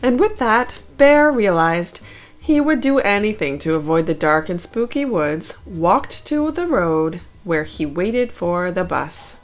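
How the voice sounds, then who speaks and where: A woman narrating a children's picture book aloud in an expressive storytelling style. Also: lowish voice, unhurried. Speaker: storybook narrator, channel host.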